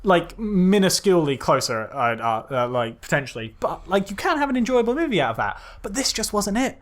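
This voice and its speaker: A man's voice: high-pitched, sing-song, fairly quick, a bit bright. A man co-hosting a podcast in casual film critique banter, hesitant and often repeating his phrases. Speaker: podcast co-host.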